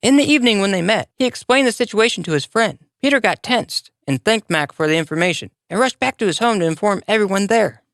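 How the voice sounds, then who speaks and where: A storyteller narrates a moral tale for children. The voice sits at a medium pitch and moves in lively swings, with deliberate, expressive phrasing. The sound is dry, with hardly any room in it. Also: no echo.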